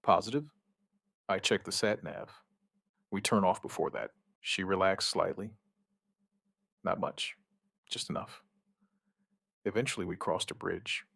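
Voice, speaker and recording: A man playing an outbreak survivor who gives a wry, weary witness account in an audio drama, in short, halting, understated phrases. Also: low voice, gravelly, a bit bright, no echo, studio quality, full character voice. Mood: sombre, watchful. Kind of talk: scripted first-person audio drama narration.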